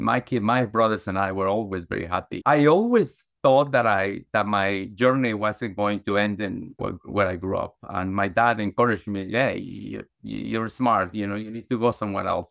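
A man's voice: lowish voice, creaky, fairly bright tone, no echo, clean studio sound. A middle-aged man from Guatemala, a podcast guest, telling his life story earnestly with many restarts and fillers. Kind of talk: conversational storytelling.

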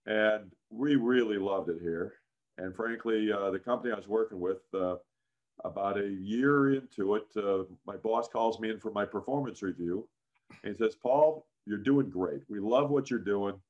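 A man in his sixties with a deep voice, a guest in a casual interview, telling career stories with many "frankly"s and "uh"s. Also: normal speed, muffled recording. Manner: frequent "frankly" and "uh", animated anecdotes. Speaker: interview guest, business founder.

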